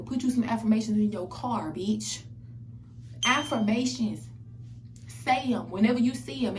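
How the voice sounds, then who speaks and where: A woman's voice: fairly high pitch, darkish tone. A young woman giving a casual motivational monologue, blunt, profane and emphatic, repeating phrases for effect. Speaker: self-help vlogger. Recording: echoey, distant mic, background noise.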